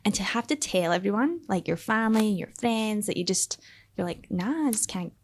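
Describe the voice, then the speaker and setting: A woman's voice: medium pitch, lively intonation, moderate pace. A young woman with a Scottish accent speaks as a guest on a podcast. She laughs often and talks loosely, full of fillers and restarts. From Scotland. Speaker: startup founder and guest. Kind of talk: casual podcast interview.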